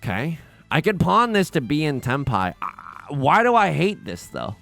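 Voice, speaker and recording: A man in early adulthood talks through his game with bouncy, playful energy. His pitch swings all over as he jokes and restarts sentences. Soft game music plays underneath. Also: creaky, dry recording. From China, raised in the US. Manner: excitable, jokey, many quick restarts. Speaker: let's play host. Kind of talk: casual gameplay commentary.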